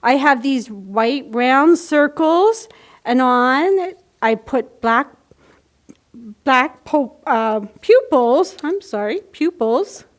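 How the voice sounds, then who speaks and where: Woman, high-pitched, unhurried, children's library storytime host, simple careful delivery. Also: lively intonation. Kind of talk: children's picture-book reading and craft instruction.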